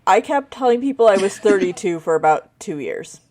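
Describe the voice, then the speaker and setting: A woman's voice: mid-range voice, lively intonation, fairly quick, a crowd in the background. A woman in her late thirties co-hosting a podcast in easygoing, dry casual chat. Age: 30s. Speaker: podcast co-host.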